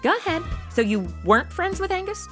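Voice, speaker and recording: A woman's voice: extremely high pitch, very animated, a little fast, a bit bright, faint music behind, heavily acted. An actress playing a brash, flippant young woman in a comedy audio drama, full of modern slang and sass. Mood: breezy. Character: brash, sassy modern young woman stuck in radio shows.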